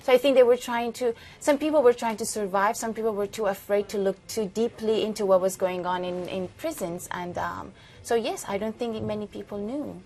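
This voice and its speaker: A woman in her thirties, originally from Iran and a native Farsi speaker, answering questions in a broadcast interview in a thoughtful, measured way with hesitant fillers. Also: medium pitch, a little mellow. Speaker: author, interview guest.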